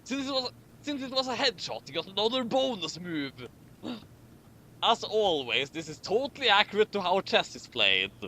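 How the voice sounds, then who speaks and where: Male comedy reader, bright forceful voice, swinging intonation, mocking asides, stumbling and repeating lines. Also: high-pitched, big pitch swings, normal speed, slight background noise. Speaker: host of a comic fan fiction reading. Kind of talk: dramatic reading with mocking commentary.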